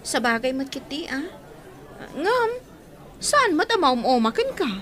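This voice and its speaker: An animated, nagging Ilocano mother. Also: high-pitched, measured pace, exaggerated voice. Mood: prying. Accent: Filipino, Ilocano. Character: nosy, nagging village mother, teasing her grown daughter. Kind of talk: radio comedy drama acting.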